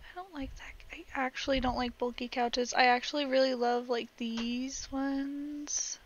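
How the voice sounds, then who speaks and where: A woman's voice: sits high, muffled recording, a little hiss or hum. A young woman casually chatting while she plays a building game, rambling with little laughs, her voice cracking now and then. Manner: chatty, rambling, giggly asides. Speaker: gaming vlogger.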